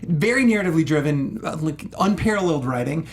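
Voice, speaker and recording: High-voiced man, enthusiastic co-host. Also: animated, fast talker. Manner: enthusiastic, emphatic, heavy use of "like". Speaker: panel show co-host. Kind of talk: casual film and game discussion.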